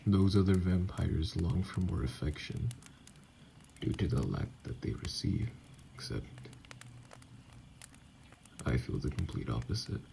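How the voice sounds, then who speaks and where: Man playing a gravelly, brooding vampire outcast. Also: deep voice, animated, mellow, a little hiss or hum, full character voice, low-quality recording. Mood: lonely, resigned. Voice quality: gravelly, breathy, often dropping to a whisper. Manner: slow, halting, brooding delivery. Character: wounded, bitter male vampire outcast, self-loathing and lonely. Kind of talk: ASMR roleplay monologue.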